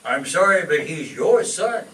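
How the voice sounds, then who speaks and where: A firm, formal store manager from a radio comedy, played by a man. His voice sits a little high and announces things properly. It is recorded at a distance in an echoey, noisy room. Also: very animated, unhurried, a bit bright. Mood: firm, apologetic. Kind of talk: old-time radio comedy acting.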